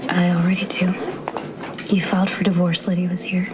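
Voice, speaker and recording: A woman playing a guarded, conflicted young lover in a soap opera, talking softly and haltingly with a breathy tone. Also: lowish voice, little pitch movement, noisy background, natural voice. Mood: guarded, wry. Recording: loud music over the dialogue.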